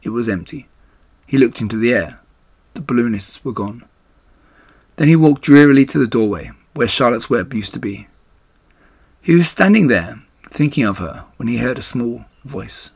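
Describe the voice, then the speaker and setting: A man narrates a children's book in measured, expressive phrases. His voice is gravelly and husky, recorded dry and close. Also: mid-range voice, no echo. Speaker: storyteller reading aloud.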